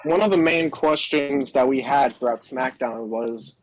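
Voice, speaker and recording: A man's voice: medium pitch, measured pace, dry recording, rough recording. A man co-hosting a podcast, chatting casually as he reviews wrestling. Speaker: podcast co-host.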